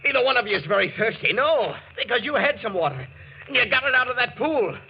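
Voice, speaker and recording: Desperate, volatile radio-drama husband, wildly swooping pitch. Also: male voice, big pitch swings, fast, full character voice. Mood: paranoid rage. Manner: ranting, sneering, threatening. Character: desperate, paranoid, hard-drinking husband stranded in a wasteland. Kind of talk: 1940s radio drama acting. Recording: muffled, noisy 1940s radio recording.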